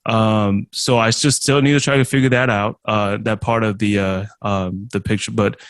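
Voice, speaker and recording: Low-voiced man from Taiwan, halting. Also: fairly even intonation, clear, resonant tone, no room sound. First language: Chinese. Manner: halting, many restarts and fillers. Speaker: interview guest, musician and streamer. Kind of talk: casual interview.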